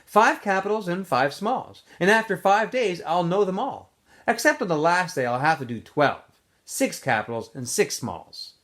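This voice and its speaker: A quiet, proud boy from a storybook, soft-spoken and matter-of-fact, his voice lifting and dipping in a lively way, as a male teacher reads him aloud. He gives short, plain answers and sounds sure of himself. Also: high-pitched, normal speed, pitched above the performer's own voice. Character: quiet clever boy digger, shy and proud.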